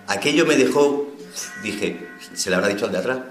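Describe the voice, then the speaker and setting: A man's voice: mid-range voice, lively intonation, brisk, echoey room, soft background music. A man from Spain telling his personal testimony as an inmate, heartfelt and emotional.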